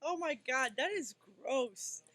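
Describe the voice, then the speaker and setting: A woman co-hosting a casual talk show from a bar, teasing and quick to laugh. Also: sits high, animated, crowd noise. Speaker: co-host.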